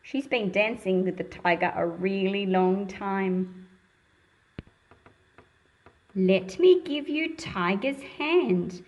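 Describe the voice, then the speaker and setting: A woman's voice: mid-range voice, takes it slowly, long pauses, darkish tone, muffled recording. A woman reading a picture book aloud to young children and explaining it in simple words, in a patient, teacherly manner.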